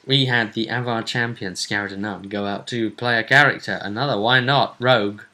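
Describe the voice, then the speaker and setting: A man casually recapping a tabletop role-playing tournament as its host, telling it in halting bursts with dramatic repetition. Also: lowish voice, steady tone, sharp tone. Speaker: host and game master.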